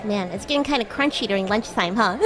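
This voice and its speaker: A woman livestreaming in character as a bratty, playful young demon classmate, chatting in a giggly way with drawn-out, hesitant words. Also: a little high, low-quality recording. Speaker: VTuber streamer.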